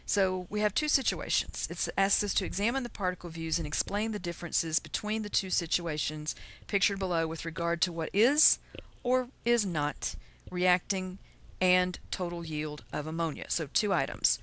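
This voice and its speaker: Woman, Texan accent, slightly low voice; chatty, folksy teacher explaining things in an informal recorded lesson. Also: normal speed, fairly bright tone. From Texas, USA. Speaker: chemistry teacher.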